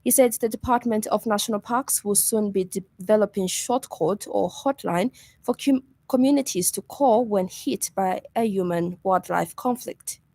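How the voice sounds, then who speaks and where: A woman news reader with a Zambian accent delivers a scripted radio bulletin. Her voice sits at a middle pitch, and the studio sound is close and dry. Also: normal speed, warm tone, no echo.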